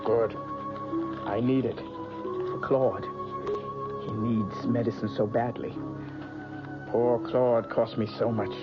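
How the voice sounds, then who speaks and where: A man's voice: mid-range voice, little pitch movement, slow pace, pauses often, loud music behind the voice, lightly acted. An actor playing Mother Love, a creepy old fortune teller. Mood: sinister coaxing. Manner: soft, creepy, coaxing. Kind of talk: 1970s radio drama acting. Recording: muffled old radio broadcast.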